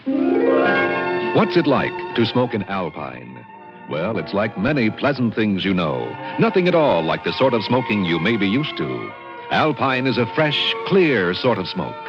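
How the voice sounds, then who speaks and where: Low-voiced, animated radio ad announcer. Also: male voice, a little slow. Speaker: commercial announcer. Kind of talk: 1950s radio advertisement read. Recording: muffled old radio recording with music.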